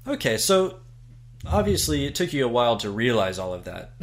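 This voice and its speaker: A man's voice: mid-range voice, very animated, moderate pace. A man hosting an interview, easygoing and affirming with short replies before asking questions. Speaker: host, interviewer. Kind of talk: conversational interview.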